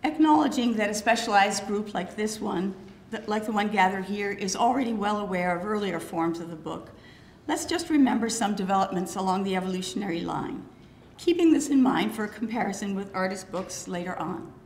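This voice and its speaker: Hoarse, gravelly woman in her 60s, curator keynote, roomy hall sound, faint room noise. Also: medium pitch, expressive, a little mellow. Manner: measured, slightly halting delivery with fillers. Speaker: curator giving a keynote lecture. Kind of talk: prepared lecture. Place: lecture hall with wood paneling. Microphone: podium microphone.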